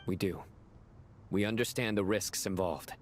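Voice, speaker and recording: An actor playing a stern, blunt, dutiful general in a video game, speaking directly, seriously and formally. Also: male voice, fairly low pitch, moderate pace, slight background noise, natural voice. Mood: grave, resolute. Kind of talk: game voice acting.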